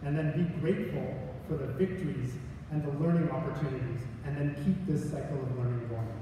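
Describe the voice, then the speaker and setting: A man's voice: medium pitch, a little fast, noisy background. A man giving a valedictorian speech at a graduation, earnest with humorous asides. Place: large hall. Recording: echoey hall from far off.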